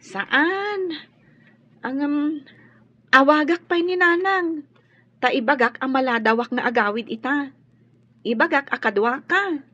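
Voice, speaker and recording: A woman's voice: high-pitched, unhurried, pauses often, sharp tone, dry recording. An actress playing a young female student, a concerned and consoling friend, in a radio drama. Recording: dull radio drama audio.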